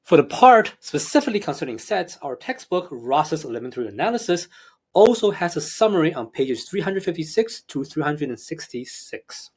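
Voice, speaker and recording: A math professor with a slight Hong Kong accent talks through a recorded lecture. His voice sits a bit high and rises and falls a lot. He explains in a measured, careful way. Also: big pitch swings, breathy edge. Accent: Hong Kong English.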